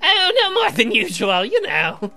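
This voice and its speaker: A man plays a timid, apologetic uncle for a visual novel game. The voice is pitched high and bright, fretful and evasive, jumping around in tone. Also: wildly swooping pitch, unhurried, faint music behind, heavily acted.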